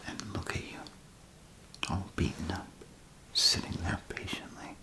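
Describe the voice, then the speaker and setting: Whispering, slow man in ASMR doctor role play. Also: sharp tone, low-quality recording. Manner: hesitant, murmuring, unhurried. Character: apologetic, attentive male vault doctor tending battered patients. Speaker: ASMR performer.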